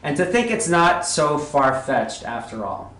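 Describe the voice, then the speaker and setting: A man recounting his story as an interview guest, relaxed and hesitant, with lots of "uh" pauses. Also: fairly high pitch. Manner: relaxed, hesitant, with many "uh" fillers. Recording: echoey room with faint background noise.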